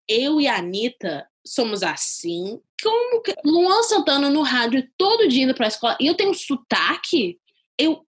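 A young woman being interviewed, retelling a personal story in a lively, animated way. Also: on the high side, husky.

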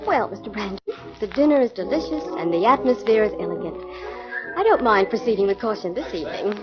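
An actress playing a spirited, curious young female sidekick with animated talk, in a 1940s radio drama. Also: medium pitch, quick pace, natural voice. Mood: playful. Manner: animated, chatty. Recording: dull old radio broadcast with music.

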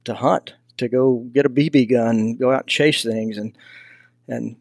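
A man's voice: mid-range voice, steady tone, normal speed, vocal fry, a little mellow, no room sound, studio quality. An older man from Texas with a Southern accent, telling stories in a casual, folksy way as an interview guest. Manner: folksy, anecdotal. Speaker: podcast guest, hunting mentor and foundation founder.

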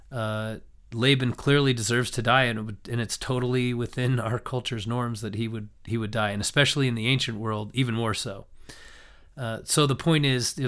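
Young guy with a raspy worn-out voice from a cold, teaching a scripture class, lots of um and uh. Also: medium pitch, fairly bright tone. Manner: thoughtful, loose delivery with many restarts and fillers. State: hoarse from a cold. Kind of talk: recorded scripture lesson.

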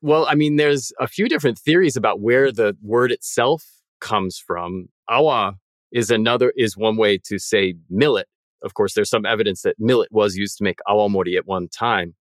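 Methodical low-voiced man explaining. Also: normal speed, dry recording. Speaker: podcast co-host. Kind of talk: explanatory podcast chat.

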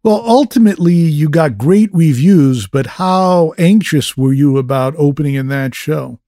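A man's voice: a little high, expressive, a little slow, mellow, no echo, clean studio sound. A man hosting an interview, asking brief, direct questions about records and songs. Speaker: interview host.

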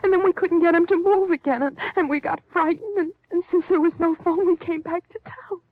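A woman's voice: very high-pitched, steady tone, no echo. An actress playing a nervous, secretive young newlywed woman, hesitant and earnest, in a 1950s radio drama. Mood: frightened, earnest. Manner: hesitant, halting, anxious. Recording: tinny, band-limited 1950s radio recording.

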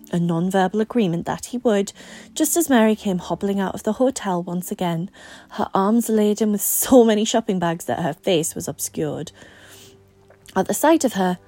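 A woman reading fiction aloud in an expressive audiobook narration style, with music behind her. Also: medium pitch, normal speed. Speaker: guest reader.

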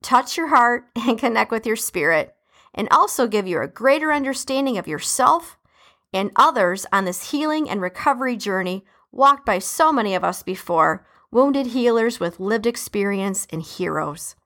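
A woman's voice: fairly high pitch, expressive, moderate pace. Chatty Detroit-accented woman podcast host. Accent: Detroit Midwest American. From Detroit, USA. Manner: warm, chatty, emphatic, with laughs and shout-outs. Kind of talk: casual solo podcast talk.

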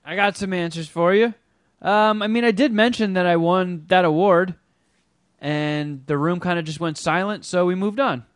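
A man co-hosting a podcast in casual chat, wry and deadpan, with some swearing. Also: high voice, moderate pace, clear, resonant tone. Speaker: podcast co-host, screenwriter.

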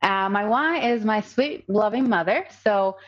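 A woman's voice: medium pitch, unhurried, dull audio. A woman in her thirties from Colombia with a Colombian accent, a native Spanish speaker, talking earnestly as a podcast guest with many fillers and restarts. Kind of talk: casual podcast conversation.

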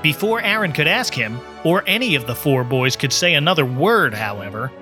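Guy reading a story aloud, bright forceful voice, kinda high, music behind. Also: very animated, normal speed. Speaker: audiobook narrator. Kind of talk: scripted story narration.

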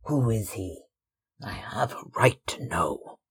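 A sullen young man, blunt and suspicious, speaks curtly in a husky voice, as a man reads him. The delivery is slow and weighty. It is a storybook reading. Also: fairly low pitch, expressive, heavily acted. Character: sullen, brooding young man about to leave home.

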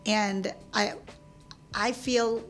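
A woman's voice: mid-range voice, fairly even intonation, extremely slow, soft background music. A Filipino-born woman in her thirties, a guest in an interview, talking casually with frequent laughs and restarts. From Philippines. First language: Tagalog. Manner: chatty, laughs often, many restarts.

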